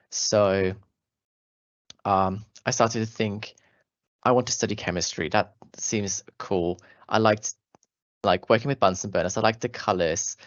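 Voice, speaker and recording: A man's voice: on the low side, fairly bright tone, no echo, dull audio. A young man in his twenties with an Austrian German accent, giving an earnest, friendly talk to school students about his path as a doctoral student. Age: 20s. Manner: earnest, friendly, with fillers and restarts.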